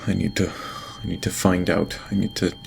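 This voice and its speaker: An actor playing a guilt-ridden lord in an audio drama, dictating a private log in halting, stammering speech broken by sighs. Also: male voice, deep voice, flat delivery, gravelly, slight background noise. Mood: distressed, remorseful. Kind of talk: audio drama acting, personal log monologue. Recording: music behind the voice. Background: music.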